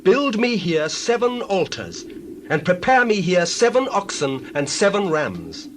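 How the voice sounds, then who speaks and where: Ancient prophet: theatrical, declamatory, highish and widely inflected, faint outdoor ambience, Bible drama. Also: male voice, very animated, measured pace, a little hiss or hum. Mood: solemn. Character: Balaam, intense theatrical male biblical prophet. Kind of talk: dramatized scripture reading.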